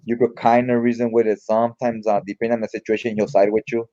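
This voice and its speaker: A man's voice: medium pitch, level tone, fast, warm tone, dull audio. A man giving casual read-along comic commentary in an informal, slangy, mildly profane way. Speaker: comic book reviewer.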